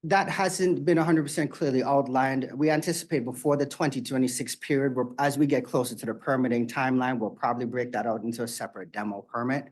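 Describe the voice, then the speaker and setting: A man's voice: mid-range voice, steady tone, fast, few pauses. A man presenting development plans at a community meeting, explaining steadily with frequent fillers. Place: meeting room.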